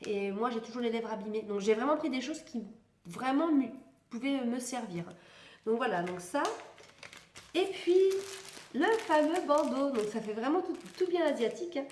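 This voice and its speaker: A woman's voice: fairly high pitch, lively intonation, moderate pace, reverberant, a little hiss or hum. A young woman with a stuffy nose from a cold, chatting casually and rambling as she tests beauty products in a vlog. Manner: chatty, rambling, self-correcting, laughs often. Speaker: beauty vlogger.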